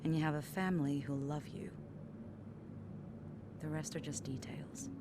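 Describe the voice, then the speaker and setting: A woman playing a racing driver's steady, supportive partner, reassuring and frank, in a video game drama. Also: low voice, measured pace, very noisy. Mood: soft, consoling.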